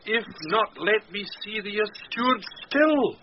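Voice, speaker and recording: A man's voice: sits high, animated. An actor playing a pompous, vain and gullible household steward in a theatrical Shakespearean radio drama, savouring and drawing out his words. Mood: reading aloud, awed. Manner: pompous, savouring and drawn-out delivery. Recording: muffled, noisy old radio broadcast.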